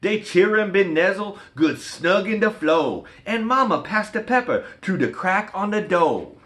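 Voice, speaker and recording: An excitable, folksy Cajun man tells a rhyming storybook tale. His voice is pitched very high and swoops boldly, with a bright, exuberant, declamatory edge. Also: unhurried, full character voice. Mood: folksy, lively. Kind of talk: rhymed storybook dialect read-aloud.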